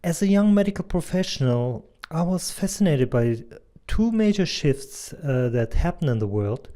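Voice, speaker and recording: A man in his thirties with a German accent, giving an earnest, measured presentation as a doctor and advertising consultant. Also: a little high, big pitch swings, warm tone. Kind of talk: scripted presentation voice-over.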